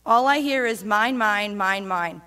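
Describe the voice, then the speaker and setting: A woman, a church member, giving a steady, emphatic public reading of a speech in a church. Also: mid-range voice, unhurried, clear, resonant tone.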